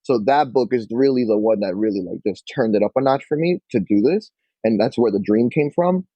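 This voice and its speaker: A young man, chatting casually as an interview guest, relaxed and full of "like". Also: mid-range voice, brisk, no room sound. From Queens, New York. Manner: relaxed, peppered with "like", acts out quotes. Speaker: bookshop owner, guest. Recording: dull lo-fi dry sound.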